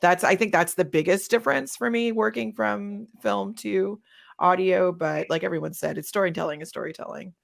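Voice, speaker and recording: A woman's voice: medium pitch, normal speed, creaky. A woman talking casually as a panelist, telling stories from her own work and acting out little quotes. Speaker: panelist, audio drama creator.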